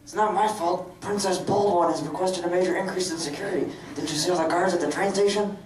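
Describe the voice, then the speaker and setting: A man's voice: high-pitched, steady tone, fast talker, crowd noise, lightly acted. A man reading the part of a dutiful, defensive older-brother pony guard in a comedic live dramatic reading. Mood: defensive, earnest. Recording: echoey room, distant mic.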